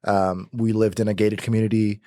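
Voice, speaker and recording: Guest: young man from Pakistan, native Urdu speaker, lowish voice, rambling casual talk, dry close sound. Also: little pitch movement, a little fast, mellow, no room sound. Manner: rambling, many restarts and fillers. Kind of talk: casual conversational interview.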